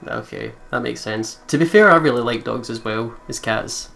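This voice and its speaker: A woman's voice: rumbling low, moderate pace, vocal fry, a bit bright, soft background music. A woman hosting a let's play, commenting casually and reactively, with some swearing. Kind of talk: casual gaming commentary with read-aloud narration.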